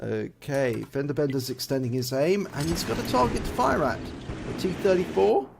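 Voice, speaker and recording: A man commentating on a tank game replay, a bit rough and nasal from a cold, explaining each shot and the damage it does. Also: on the high side, moderate pace, noisy background. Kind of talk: gameplay commentary over a replay. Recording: loud game battle sounds behind voice. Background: tank game gunfire and engines.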